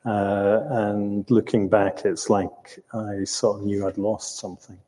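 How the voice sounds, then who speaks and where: An older man recounting his own life in an interview, speaking reflectively in a halting, thoughtful way with long hesitations. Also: lowish voice, flat delivery, warm tone. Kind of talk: reflective storytelling in conversation. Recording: roomy hall with long reverb.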